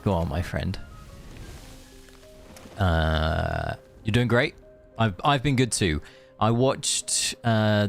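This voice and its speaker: A man streaming a game, chatting casually and rambling in friendly asides to his viewers. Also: fairly low pitch. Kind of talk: casual live gaming stream chat. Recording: music behind the voice.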